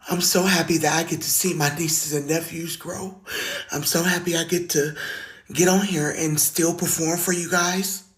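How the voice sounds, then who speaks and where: Raspy low-voiced woman with a slight lisp, earnest first-person testimony into a phone. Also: fairly even intonation, normal speed. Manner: earnest, heartfelt, plain-spoken. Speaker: singer sharing her story. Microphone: phone video.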